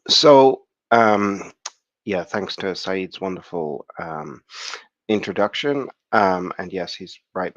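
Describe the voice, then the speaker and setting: Low-pitched croaky male academic, halting delivery, dull dry call sound. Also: dry recording. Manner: hesitant, many fillers and restarts. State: croaky from the flu. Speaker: conference speaker. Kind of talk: academic online talk. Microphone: video call.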